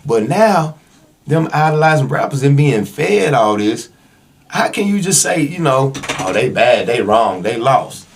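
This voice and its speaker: An American man in middle age with a lively, swinging pitch, recorded with some faint noise behind him. He chats casually and emphatically, dropping mild curses. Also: measured pace. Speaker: podcast guest co-host. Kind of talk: casual podcast conversation.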